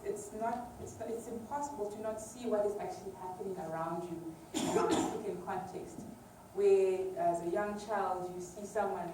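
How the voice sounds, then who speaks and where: A woman from Uganda, an academic researcher, gives a semi-informal public lecture, repeating words hesitantly as she goes. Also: mid-range voice. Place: large echoey lecture room. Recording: distant mic, very echoey hall, clear background noise.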